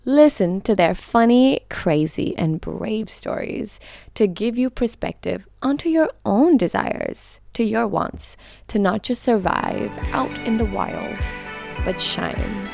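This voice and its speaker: A woman hosting a podcast speaks in a gravelly, creaky voice with a dry, close sound. She talks in an expressive, playful way and drops into dramatic whispers for effect. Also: medium pitch, slow pace, no room sound, faint music behind. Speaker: podcast host. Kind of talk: podcast intro and interview.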